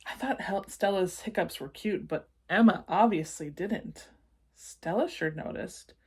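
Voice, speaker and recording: A woman reads a picture book aloud for children. Her medium-pitched voice moves at a slow, careful pace. She is expressive and drops in chatty little asides to her young listeners. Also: husky. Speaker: read-aloud storyteller.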